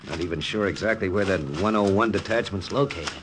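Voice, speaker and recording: An actor playing a wry, plucky American wartime pilot in an old-time radio adventure, with colloquial, excitable delivery. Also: male voice, deep voice, fairly quick, close to an everyday voice. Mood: wry, weary. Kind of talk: 1950s radio adventure acting. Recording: noisy old radio recording.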